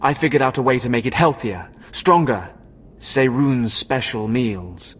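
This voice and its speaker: A man's voice: mid-range voice, measured pace, a bit bright, slightly rough. A man playing a curt, sinister Sith beast trainer in a video game, with a brusque, brooding delivery. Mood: confiding, grim. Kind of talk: video game dialogue acting.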